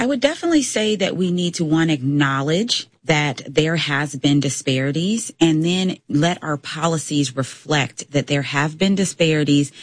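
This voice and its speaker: A woman's voice: low voice, no echo. Woman legislator, dry close sound. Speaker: state legislator, panel guest. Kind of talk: political panel discussion.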